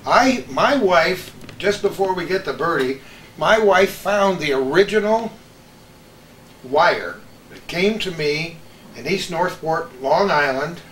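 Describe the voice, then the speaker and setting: A middle-aged or older man hosts a casual reminiscing chat, given to chuckling as he talks. His voice sits on the high side. The sound is roomy and a bit distant from the mic, with faint noise. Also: animated, takes it slowly, fairly bright tone. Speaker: host.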